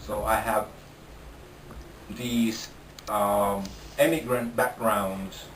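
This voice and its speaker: A man with a Finnish accent, speaking English slowly and haltingly and restarting his sentences often. He sits well back from the microphone, and the room around him sounds echoey. His pitch sits in a middle range. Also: fairly even intonation, slight background noise. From Finland. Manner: slow, halting, with repeated restarts. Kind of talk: casual interview talk. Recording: roomy sound from a distant mic.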